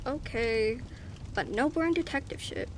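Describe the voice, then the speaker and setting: A woman's voice: on the high side, animated, normal speed, noisy background, lightly acted. A woman playing a spirited, tender young daughter of a detective in an audio drama. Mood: playful.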